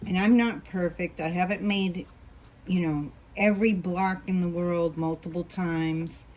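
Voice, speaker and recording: Slow, mellow-voiced woman teaching quilting. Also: on the low side, fairly even intonation. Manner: patient, thinks aloud while working. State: losing her voice, coughing. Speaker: quilting instructor. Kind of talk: relaxed hands-on sewing tutorial.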